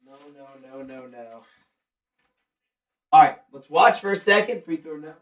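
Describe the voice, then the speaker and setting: A man calls a live basketball game in a fairly high, forceful voice. He sounds stuffed up with a cold. His delivery mixes quick play calls with casual, excitable fan reaction. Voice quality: stuffy, congested. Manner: excitable, shouts on big plays. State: congested from a cold. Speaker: live play-by-play commentator and streamer.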